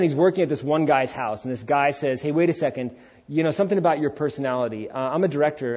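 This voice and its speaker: A man's voice: medium pitch, a little mellow, lo-fi sound. A man in his forties preaching a sermon in an emphatic, informal, conversational way. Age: 40s. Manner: emphatic, informal, with rhetorical questions and acted-out quotes. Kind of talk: sermon with casual, conversational asides.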